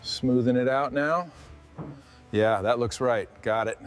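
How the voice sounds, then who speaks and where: A man's voice: medium pitch, slow pace, faint music behind. A man hosting a restoration video, giving hands-on workshop commentary.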